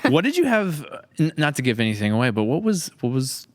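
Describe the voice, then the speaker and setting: A man's voice: fairly high pitch, huge pitch swings, fast, dry recording, clean studio sound. A man hosting a podcast in casual conversation, talking in an enthusiastic, rambling way. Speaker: podcast host.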